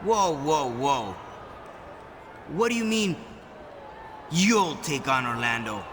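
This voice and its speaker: Proud, defiant wrestler in echoing hall. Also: male voice, fairly high pitch, sing-song, slow, a bit bright, heavily acted. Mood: indignant. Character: proud, defiant male wrestler standing his ground. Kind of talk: video game cutscene acting. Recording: echoey hall, background noise.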